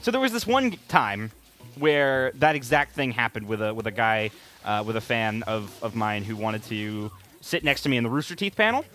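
A man doing casual gaming commentary, talking in an emphatic, excitable way and repeating words for emphasis. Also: medium pitch, moderate pace. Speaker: gaming video creator. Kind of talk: casual co-commentary over gameplay.

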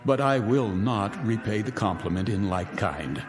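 A mocking bunker tyrant, radio drama, swooping lively pitch, reverb and music behind. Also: male voice, unhurried. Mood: commanding. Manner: sneering, theatrical, mocking. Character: scornful wealthy man in a bunker, taunting captives.

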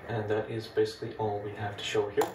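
A man's voice: on the low side, quick pace, noisy background. Dutch-accented man in an echoey room. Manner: relaxed, chatty, explains step by step. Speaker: retro computing video host. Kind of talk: casual hardware demo narration. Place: room with old computers. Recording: echoey room, mic at a distance.

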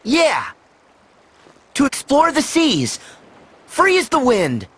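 A man's voice: extremely high pitch, wildly swooping pitch, takes it slowly, a bit bright, full character voice. An actor playing an earnest, wide-eyed teenage boy hero in a video game. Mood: eager, determined. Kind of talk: animated video game cutscene acting.

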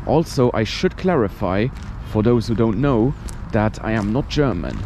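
Travel vlogger, man in his thirties from Luxembourg, low voice, easygoing chat, filmed at arm's length outdoors. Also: moderate pace. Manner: relaxed, chatty, frequent "and yeah" fillers. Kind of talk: walking travel vlog narration. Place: outdoors on cold city streets. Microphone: handheld camera at arm's length. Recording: outdoor street noise, traffic.

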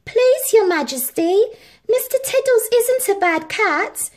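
A woman voicing an excitable young boy in a storybook, earnest and exclamatory. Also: extremely high pitch, takes it slowly, pitched above the performer's own voice, full character voice. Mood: pleading. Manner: exclamatory, dramatic.

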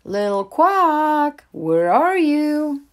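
A woman's voice: high-pitched, big pitch swings, extremely slow, pitched above the performer's own voice, heavily acted. A woman voicing a cheerful, caring mother duck in a children's storybook, calling out in a sing-song way.

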